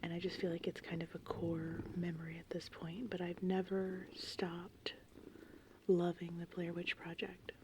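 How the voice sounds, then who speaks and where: A woman in her thirties whispering softly and breathily in an informal, rambling ASMR style. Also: fairly low pitch, measured pace, background noise. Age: 30s. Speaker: ASMR channel host. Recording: steady rain and thunderstorm ambience behind. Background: gentle rain and thunder.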